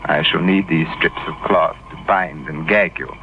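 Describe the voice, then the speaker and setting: Politely menacing killer, heavy noise. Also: male voice, fairly even intonation, phone-quality sound. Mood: coldly polite. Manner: courteous, measured, sinister formality. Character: polite, menacing murderer, coolly gloating over his captive. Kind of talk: 1950s radio drama acting. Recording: noisy old radio recording, train rumble.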